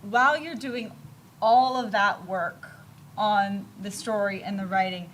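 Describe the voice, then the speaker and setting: A woman's voice: mid-range voice, fairly even intonation, unhurried, a bit bright. Woman on a con panel, bit of room noise, casual but emphatic advice in short chunks. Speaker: panelist, animation creator. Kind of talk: informal explanatory panel talk. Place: live convention panel. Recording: noticeable ambient event noise.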